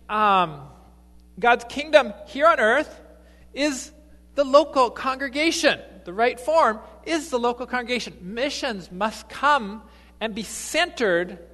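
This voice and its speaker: Guy giving a sermon, kinda halting, lots of ums, echo of a big church hall. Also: extremely high pitch, sharp tone. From grew up partly in Ecuador, then US. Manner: halting, frequent fillers and restarts. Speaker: lay missionary preacher.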